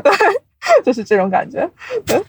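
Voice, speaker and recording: A young adult woman chatting casually as an interview guest, explaining things from experience with animated storytelling and self-deprecating humour. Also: a little high, moderate pace, vocal fry, slightly rough, no echo, clean studio sound. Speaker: podcast guest, audio drama producer.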